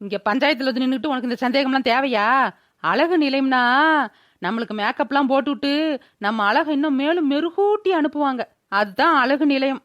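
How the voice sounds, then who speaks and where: A scolding, sharp-tongued mother from a village cartoon, voiced by a woman, talks fast and high in a rural Tamil Nadu accent. She is brash, and the recording is dry and a little dull. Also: no echo. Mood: tart, sardonic. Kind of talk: cartoon village drama voice-over.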